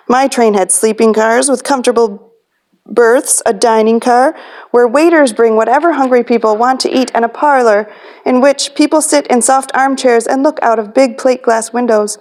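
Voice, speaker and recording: A woman's voice: fairly high pitch, a little mellow, lightly acted. Lady doing a stuck-up boy train engine from a kids book, haughty, braggy. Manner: haughty, boastful, snorting. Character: snooty shiny new passenger train engine, male.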